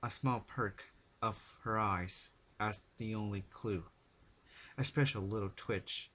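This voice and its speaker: Male narrator, low pitch, very slow, bright forceful tone, dramatic reading, halting phrases. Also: poor audio. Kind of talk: dramatic scripted story narration.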